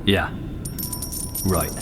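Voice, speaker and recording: A man's voice: extremely slow, background noise. An actor playing a brash, restless whaler in an audio drama, blunt, mocking and challenging in his delivery. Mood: sarcastic.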